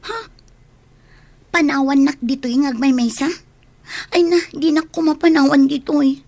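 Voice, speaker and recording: A woman's voice: high voice, steady tone, moderate pace, vocal fry, a little mellow. A woman acting in a radio drama as a hesitant young woman who defers to her boss. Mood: meek, deferential.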